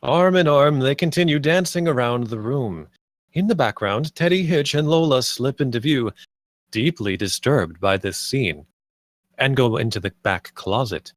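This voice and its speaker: A man's voice: mid-range voice, animated, normal speed. A man narrating stage directions at a live script table read.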